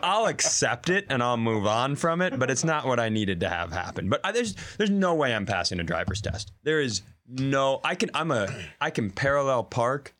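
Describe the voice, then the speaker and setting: A man's voice: mid-range voice, very animated, moderate pace, few pauses, a bit bright. An American man co-hosting a podcast with casual comic banter and a sardonic, mock-indignant delivery. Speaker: podcast co-host.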